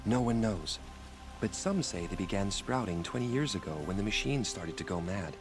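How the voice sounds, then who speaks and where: Man playing a florid, low-voiced genteel game trader. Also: lively intonation, normal speed, music in the background, close to an everyday voice. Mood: mystical. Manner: florid, theatrical, courtly. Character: flowery, genteel male trader and former adventurer, wistful. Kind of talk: video game dialogue acting.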